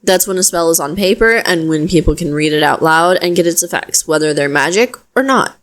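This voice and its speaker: A woman playing a chatty, flustered young wizard in an audio roleplay, speaking straight to the listener in a hesitant, expressive, conversational way. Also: fairly low pitch, a little fast, lightly acted. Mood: earnest explaining. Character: flustered young female wizard, chatty, earnest, self-deprecating.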